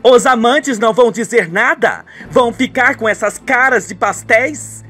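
A man's voice: very high-pitched, normal speed, sharp tone, soft background music, voice pushed up. A man playing a furious, scornful middle-aged betrayed wife in a radio soap opera.

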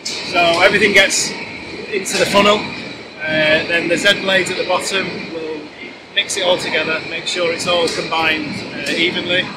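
A man's voice: high voice, flat delivery, moderate pace. A man in his thirties explaining a process as a guide inside a large working factory. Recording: music behind, factory hum.

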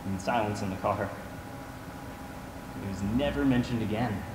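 A man's voice: lowish voice, moderate pace, close to an everyday voice. Young man acting a wounded young man, echoey stage. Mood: pained, confessional. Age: young adult. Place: theater stage, indoors. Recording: echoey theater, distant, noisy.

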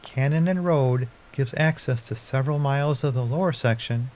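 A man giving calm, scripted narration about a river and its fishing access. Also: mid-range voice, normal speed.

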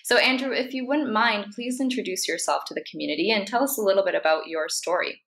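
A woman's voice: mid-range voice, fast, fairly bright tone. A woman hosting an interview series, politely reading out prepared questions to her guest.

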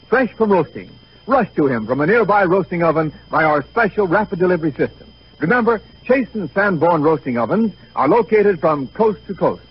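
A man's voice: fairly high pitch, slightly rough. An American man announcing a scripted commercial in 1930s radio style. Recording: muffled 1930s radio recording.

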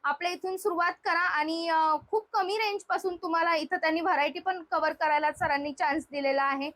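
A woman with a high voice hosts a shopping vlog. She presents products in an unscripted, conversational style, talking to viewers and to the seller. The sound is dry, with little room around the voice. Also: steady tone, a little fast, fairly bright tone, no room sound.

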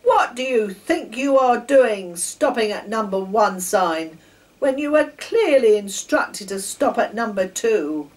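A woman's voice: fairly high pitch, very animated, slow pace, recorded from a distance, voice pushed up, poor audio. A woman voicing a strict, officious male station master in a storybook. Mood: sharp. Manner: sharp, commanding.

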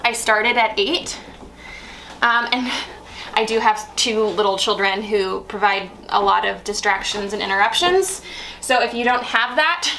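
A woman's voice: mid-range voice, sharp tone, a little hiss or hum, low-quality recording. A woman in her thirties who runs a homesteading channel, talking viewers through her bread recipes step by step in a friendly, instructional way. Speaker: homesteading vlogger. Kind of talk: cooking tutorial narration. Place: home kitchen, indoors.

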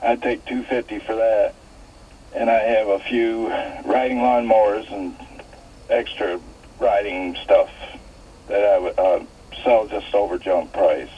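A man's voice: on the low side, fairly even intonation, unhurried. A man calling a radio show by phone, casually listing items in a plain, folksy way. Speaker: caller. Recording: noisy narrow phone line over radio.

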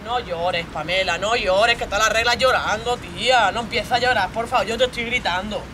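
A woman's voice: medium pitch, a little fast, noisy background, natural voice. A woman voicing a jealous, bullying young boyfriend from a stage monologue, with a Spain accent, domineering and badgering with plenty of swearing. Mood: exasperated, bullying. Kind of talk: theatrical monologue role-play.

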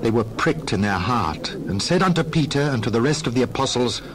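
A man narrating a dramatized Bible reading in a measured, formal storytelling manner. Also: medium pitch, lively intonation, a crowd in the background. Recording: clear background noise.

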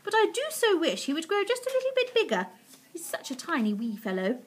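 Woman acting a gushing, high, excitable storybook lady. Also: brisk, full character voice. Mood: fretful. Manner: gushing, exclamatory, emphatic. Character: excitable, adoring, sweet lady besotted with her pet. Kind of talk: children's storybook character voice.

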